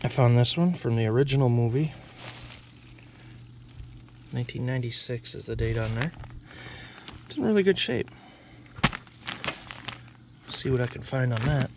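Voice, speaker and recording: A man's voice: mid-range voice, lively intonation, normal speed, long pauses. Middle-aged male reseller, offhand and musing, outdoor body-cam audio with insect noise. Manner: offhand, thinking aloud, unsure of values. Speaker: reseller showing his haul. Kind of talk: casual vlog narration. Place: outdoors by a car trunk, rural.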